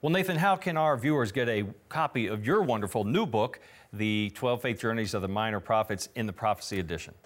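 A man's voice: fairly low pitch, fairly quick. A man co-hosting a Bible prophecy TV program, speaking earnestly and affirming his partner. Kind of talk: conversational Bible teaching.

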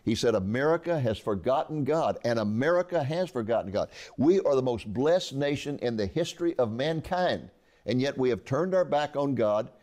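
An earnest man in his eighties talks through his book as an interview guest. His voice sits at an ordinary middle pitch, and he often doubles back and restarts his words as he goes. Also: lively intonation, moderate pace, darkish tone. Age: 80s. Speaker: author guest. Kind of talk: conversational interview.

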